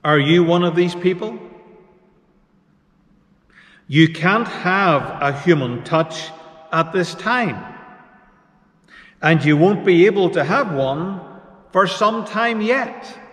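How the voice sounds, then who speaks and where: Male pastor, high voice, slow deliberate sermon delivery, long church reverb. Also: expressive, fairly bright tone. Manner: slow, measured preaching with pauses. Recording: echoey church hall reverb.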